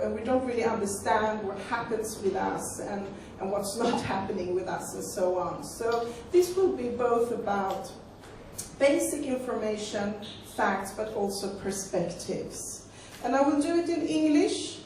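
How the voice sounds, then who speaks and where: A Swedish-accented woman in her forties gives a casual lecture, doubling back on words as she looks for the right one in English. Her voice sits fairly high. It was recorded from well back in a very echoey hall. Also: unhurried, a little mellow, recorded from a distance, a little hiss or hum. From Sweden. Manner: conversational, repeats words while searching for them. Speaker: sex educator. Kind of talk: live lecture to an audience.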